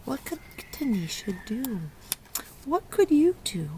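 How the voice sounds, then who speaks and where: Expressive woman reading slowly to young children. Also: high-pitched, low-quality recording. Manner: encouraging, praising child listeners; expressive, exclaiming and prompting. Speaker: preschool teacher reading a picture book aloud. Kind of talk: children's read-aloud with questions to young listeners. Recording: clear background noise.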